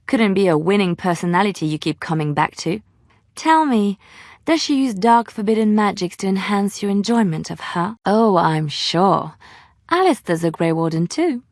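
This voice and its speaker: Casting: actress for young female game companion, devout and romantic, mid-range pitch, expressive and playful, dry studio sound. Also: moderate pace, dry recording, lightly acted. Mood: hurt, jealous. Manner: heartfelt, coy, emotionally expressive. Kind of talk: video game dialogue acting.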